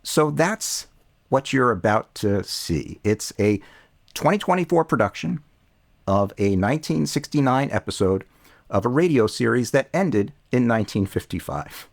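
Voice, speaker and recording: Male presenter, scripted introduction, mid-pitched with noticeable fry. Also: expressive, normal speed.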